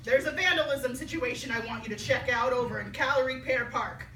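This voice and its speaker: A woman's voice: sits high, a little fast, a bit bright. A woman playing an exasperated, sarcastic, scolding police captain in a staged reading. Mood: sarcastic, brisk. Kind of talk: staged reading before an audience. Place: live theater stage before studio audience. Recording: echoey stage, distant mic, noisy room.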